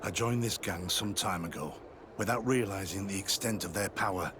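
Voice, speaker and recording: A man's voice: low voice, normal speed, background noise, lightly acted. A man playing a guilt-ridden, secretive army sergeant turned informant in a video game, guarded, earnest and confiding. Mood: remorseful. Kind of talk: video game cutscene acting.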